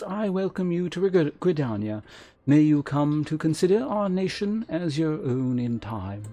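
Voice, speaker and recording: Man voicing a courteous, formal fantasy innkeeper, measured. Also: a little high, mellow, pitched above the performer's own voice. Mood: courteous, welcoming. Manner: measured, formal, archaic wording. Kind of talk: read-aloud game character dialogue.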